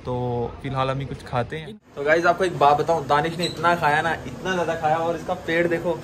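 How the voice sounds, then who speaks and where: A young man with a fairly high voice chats to camera like a vlogger. He is casual and teasing. Clear background noise runs behind his phone recording. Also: little pitch movement, a little fast. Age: 20s. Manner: playful, teasing. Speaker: vlog host. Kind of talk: casual vlog chat to camera. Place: restaurant room and outside it by a road. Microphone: phone at arm's length. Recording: noisy phone audio with background ambience.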